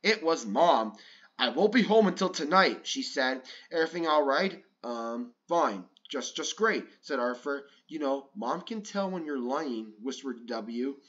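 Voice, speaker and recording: A man's voice: medium pitch, unhurried, dull audio. A man reading a children's picture book aloud, changing his voice for the lines and stopping now and then to comment casually. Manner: breaks off to comment casually between pages; lively, with raised voices for excited lines. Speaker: read-along storyteller.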